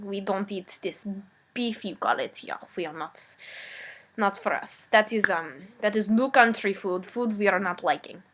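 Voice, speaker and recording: A woman playing a rambling immigrant woman from the old country, talking in broken English with a meandering, deadpan comic manner. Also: mid-range voice, natural voice. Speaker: comedic character. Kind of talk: improvised comic character monologue.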